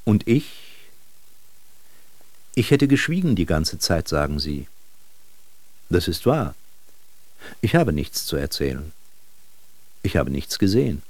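A man's voice: low-pitched, a little mellow, no room sound, heavily acted. A man acting in a literary German radio drama as a wry, world-weary travelling bristle salesman, narrating in a reflective way. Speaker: narrator and lead actor.

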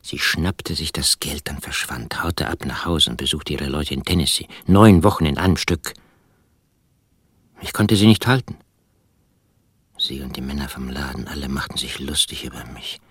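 An actor playing an embittered, obsessive poor farmer who narrates a radio play in a hushed, intimate, brooding way. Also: male voice, very deep voice, lively intonation, normal speed, pauses often, no echo, studio quality, heavily acted. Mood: bitter. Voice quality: husky, hushed. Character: Charlie, embittered poor rural farmer obsessed with his jar. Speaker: first-person narrator.

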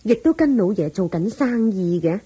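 Husky, mellow devoted wife. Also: female voice, mid-range voice, expressive, normal speed. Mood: solicitous. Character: devoted, flattering wife of rich old master. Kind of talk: Cantonese radio drama acting.

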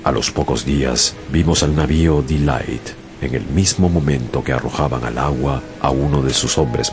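A man narrating a whaling voyage as a sailor in a radio drama, with a deep, gravelly voice. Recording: radio drama with music bed.

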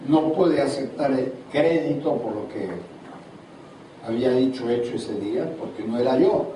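Older preacher in an echoey hall. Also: male voice, mid-range voice, normal speed, warm tone. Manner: preaches with call-and-response questions to the congregation. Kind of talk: seminar sermon. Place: large hall at a church seminar. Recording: echoey hall from far off, audible noise.

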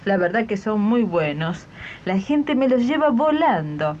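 Lively, nosy Argentine customer, played by a woman. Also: mid-range voice, a little hiss or hum, close to an everyday voice. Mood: chatty, nosy. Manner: brisk, inquisitive. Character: chatty, prying female customer collecting homemade jam. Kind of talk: 1980s community radio drama. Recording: muffled 1980s archive radio tape.

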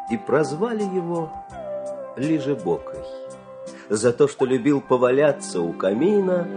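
A man tells a fairy tale as the narrator of an audio play. His delivery is theatrical and animated, moving widely in pitch and using dramatic pauses, with light music behind him. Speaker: storyteller, narrator.

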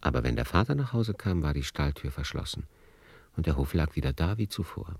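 A man's voice: a bass voice, creaky, darkish tone, no echo. A man narrating a radio play in a scripted, literary storytelling style.

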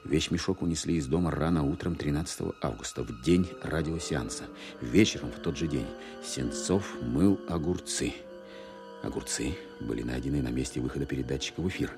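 Wartime investigator, extremely low voice, measured, reflective, radio-play narration, music behind. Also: male voice. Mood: deliberate reasoning. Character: shrewd male wartime counterintelligence officer thinking aloud. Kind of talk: dramatic first-person narration, radio play. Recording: radio drama with music underneath. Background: clear music.

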